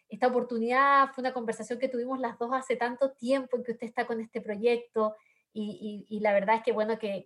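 A woman from southern Chile with a Chilean accent, speaking earnestly as a panelist, with frequent fillers and tag questions. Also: medium pitch. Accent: Chilean Spanish. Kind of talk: panel discussion remarks.

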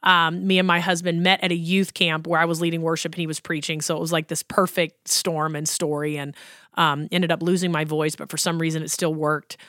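A woman's voice: sits low, little pitch movement, brisk, fairly bright tone, no room sound, clean studio sound. A woman in her thirties, a worship pastor hosting a podcast, chatting about her life with many ums and likes. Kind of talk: casual personal storytelling.

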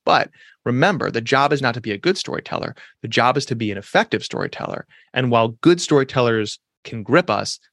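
A man in his thirties, an author and speaker, talking fast and emphatically in an interview, with lots of restarts. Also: mid-range voice, a bit bright, no room sound, clean studio sound. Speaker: podcast guest, author and speaker. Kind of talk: conversational interview.

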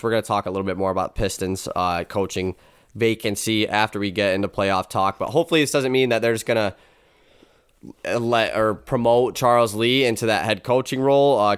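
Stuffy-nosed young podcast host. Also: male voice, fairly low pitch, smooth, no room sound, clean studio sound. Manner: upbeat, rambling with fillers and restarts. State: stuffy, congested nose. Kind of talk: casual sports chat.

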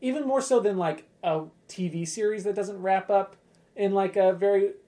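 A man talking casually as a panelist on a gaming podcast, thoughtful and halting as he phrases opinions. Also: sits high, dark tone. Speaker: podcast panelist discussing video games. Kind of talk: casual chat.

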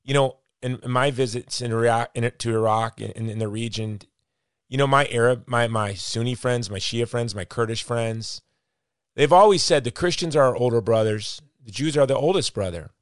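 A man's voice: medium pitch, normal speed, dry recording. Middle-aged man hosting, dry sound. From United States. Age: late 40s to 50s. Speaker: interview host. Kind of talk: conversational interview.

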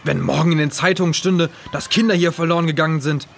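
A man's voice: on the high side, steady tone, fast talker, gravelly, slight background noise. A man playing an officious, easily flustered pool lifeguard in a children's audio drama. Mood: defensive, officious. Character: fussy, officious male pool lifeguard, defensive.

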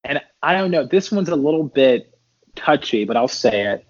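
A man being interviewed as a podcast guest, chatting casually in a loose, bantering, halting way. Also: mid-range voice, expressive, lo-fi sound.

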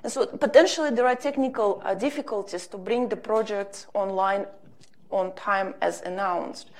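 A woman's voice: medium pitch, normal speed, a little mellow. A woman speaking on a conference panel, answering questions carefully with many fillers and restarts. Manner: hesitant, careful, many ums and self-corrections. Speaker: panel speaker on energy markets.

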